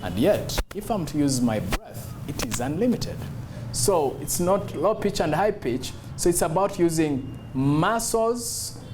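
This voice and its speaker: A man coaches public speaking in an instructional talk. His pitch moves up and down in a lively way, and he stresses and repeats key phrases as he demonstrates. There is clear noise and hum behind his voice. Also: unhurried.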